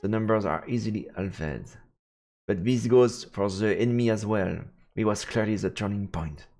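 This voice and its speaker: Low, creaky-voiced man narrating a story. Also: normal speed, darkish tone. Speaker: streamer reading a visual novel aloud. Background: faint game music.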